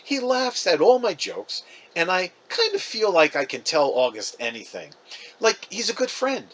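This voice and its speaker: A man's voice: high-pitched, wildly swooping pitch, moderate pace, fairly bright tone, voice pushed up, heavily acted. A man reading a storybook as a chatty, wounded, defensive fifth-grade boy, casual and emphatic. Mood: earnest.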